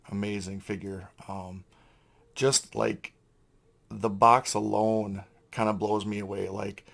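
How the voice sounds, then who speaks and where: Hoarse, gravelly-voiced man reviewing toys. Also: fairly low pitch. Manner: rambling with many fillers, throat clearing. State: hoarse, lost voice. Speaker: toy collector channel host. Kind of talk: casual unboxing review.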